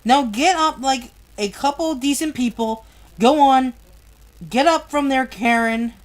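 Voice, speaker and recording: A woman's voice: a little high, measured pace, close to an everyday voice. A woman voicing a stern old male coal miner who blunts every order into a scolding command.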